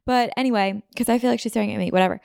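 A woman's voice: a little high, steady tone, very fast, vocal fry, a little mellow, no room sound, clean studio sound. Chatty young American woman, sniffly. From Philadelphia suburbs, USA. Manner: rambling, heavy use of "like", self-interrupting. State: sniffly, slightly runny nose. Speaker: podcast host. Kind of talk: casual chatty podcast monologue. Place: apartment room. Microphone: podcast mic on video.

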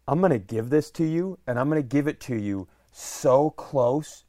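A man's voice: medium pitch, lively intonation, normal speed, dark tone, no room sound, clean studio sound. A man hosting a podcast in casual comedic conversation, rambling along with self-deprecating stories. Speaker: podcast host.

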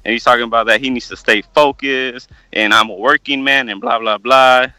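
A man's voice: mid-range voice, steady tone, brisk, pushed and bright, no echo. A man calling in as a fighter, telling a rambling story loosely with many fillers. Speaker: caller, fighter. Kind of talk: casual call-in chat.

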